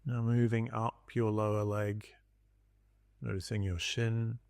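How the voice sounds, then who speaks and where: A man leading a guided body scan meditation as a teacher, speaking in calm, gentle instructions with long pauses. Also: lowish voice, clean tone, no echo.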